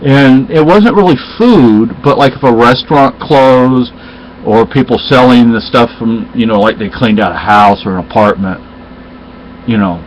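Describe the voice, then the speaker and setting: A man's voice: mid-range voice, slight background noise. Rambling middle-aged man. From Spain. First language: Spanish. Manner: rambling, halting, with many restarts. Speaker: storyteller in casual conversation. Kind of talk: casual chat. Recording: dull lo-fi recording.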